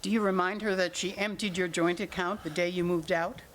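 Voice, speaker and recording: A woman's voice: lowish voice, steady tone, brisk, slight background noise, lightly acted. Blunt, exasperated older mother played by a woman. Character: exasperated, sharp-tongued older mother of adult children. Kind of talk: staged reading of a play.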